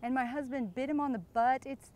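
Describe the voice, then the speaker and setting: A woman acting as a coy, boastful glamour wife with an American accent and a fairly high voice. She gushes suggestively and giggly, as if chatting in a comic mock interview outdoors. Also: fairly even intonation, a little fast, a little mellow, close to an everyday voice. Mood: flirty, amused. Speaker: interviewee in character. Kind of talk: improvised in-character mock interview. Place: outdoors beside a lake.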